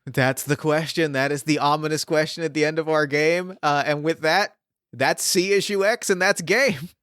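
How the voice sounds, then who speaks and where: A man's voice: a little high, fairly even intonation, normal speed, fairly bright tone, clean tone, no echo, clean studio sound. A man hosting a casual podcast chat, effusive and given to emphatic repetition. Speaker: podcast host, game master.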